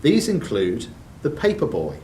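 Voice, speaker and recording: A man's voice: medium pitch, huge pitch swings, unhurried, darkish tone. A man working as a quiz master, reading questions aloud to contestants. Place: indoors in a club room, mic at a distance. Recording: roomy hall, distant mic, faint noise.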